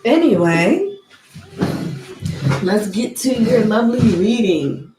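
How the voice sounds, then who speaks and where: Woman doing a tarot reading, slow and soft, kinda sniffly, echoey room with a little music behind. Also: medium pitch, sing-song. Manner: slow, soft and deliberate, with an invocation-like cadence. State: sniffling from allergies. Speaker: tarot card reader.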